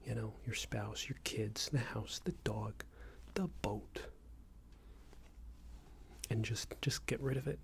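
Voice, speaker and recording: Guy whispering asmr as a patronizing boss, breathy and halting, close mic, bit of noise. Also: lowish voice. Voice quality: soft, breathy whispering and murmuring. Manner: hesitant, repetitive, patronising workplace talk. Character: passive-aggressive, condescending male company boss. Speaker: ASMR roleplay performer. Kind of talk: ASMR roleplay, improvised monologue.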